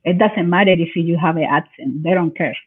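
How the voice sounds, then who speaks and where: A woman from Venezuela with a Venezuelan Spanish accent, a bilingual parent educator who speaks Spanish as her first language and answers in a halting way, often searching for words. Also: on the low side, breathy edge. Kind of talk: conversational interview answers. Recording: tinny phone-like call audio.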